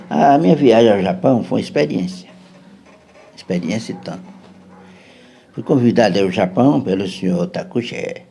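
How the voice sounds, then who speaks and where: Brazilian guy rambling thru a story on a podcast, keeps saying né, voice goes up and down a lot, kinda rough. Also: on the low side, animated, normal speed, long pauses, mellow. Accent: Brazilian Portuguese. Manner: chatty, keeps checking in with "né?". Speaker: podcast guest.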